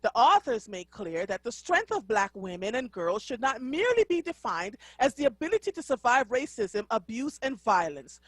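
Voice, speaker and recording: A woman's voice: on the high side, slightly rough, no echo. A middle-aged woman from Jamaica with a Jamaican accent, hosting a live radio talk show in an effusive, exclamatory way and laughing often.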